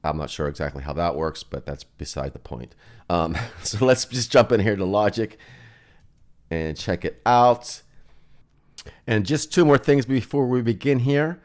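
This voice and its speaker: Deep-voiced man, casual software demo. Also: animated, unhurried. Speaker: presenter demonstrating music software. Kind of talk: casual screen-recorded software walkthrough.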